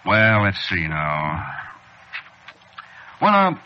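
A man's voice: sits low, wildly swooping pitch, very slow, full character voice. An actor playing a folksy, evasive, faintly ominous bartender in a 1940s radio drama, drawing out his words. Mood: folksy, teasing. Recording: dull, hissy old radio recording.

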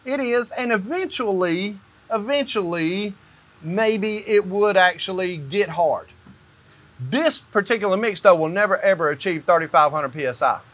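High-pitched man explaining step by step. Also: lively intonation, normal speed, fairly bright tone. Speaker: company owner explaining construction. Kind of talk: hands-on explanatory demonstration. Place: indoors in a metal-walled barn or shop.

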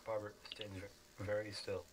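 A man's voice: on the low side, flat delivery, normal speed. A man doing casual roleplay banter in an online game, with a dry, exasperated manner. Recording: noisy game voice chat with clicks.

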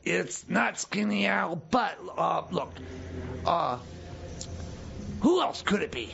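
Man as a creaky, stammering prison officer. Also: high voice, expressive, dull audio, background noise, full character voice. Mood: scheming. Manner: stammering, repetitive, halting. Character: pushy, bumbling male prison officer, scheming. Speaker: radio skit actor. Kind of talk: improvised comedy radio theater.

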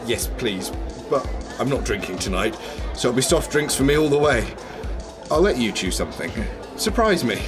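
Actor doing playful flirty guy in an audio drama, very lively delivery, music behind him. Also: mid-range voice, moderate pace, natural voice. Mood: flirtatious. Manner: teasing, animated. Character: playful, flirtatious man, troubled by nightmares. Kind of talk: radio drama acting. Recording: music under the voice.

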